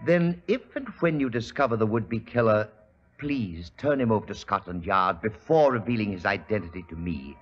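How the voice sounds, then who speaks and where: An actor playing an imperious, wealthy industrialist in an old radio mystery, speaking formally with coldly courteous menace. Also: male voice, fairly low pitch, moderate pace, natural voice. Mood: menacing. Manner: smooth-talking, formal, menacing. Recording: dull, hissy 1940s radio recording.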